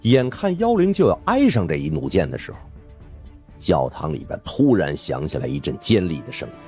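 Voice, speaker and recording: A man's voice: deep voice, huge pitch swings, fairly quick, warm tone, soft background music. A man giving dramatic scripted narration of supernatural suspense fiction as an audiobook narrator.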